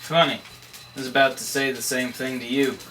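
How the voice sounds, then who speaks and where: A man's voice: medium pitch, little pitch movement, moderate pace, bright, forceful tone, soft background music, lightly acted. A man playing a slangy, skeptical 1930s police detective, conversational and wisecracking, at a table read. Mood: wry, confiding. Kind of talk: noir dialogue at a table read. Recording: distant mic, faint room noise.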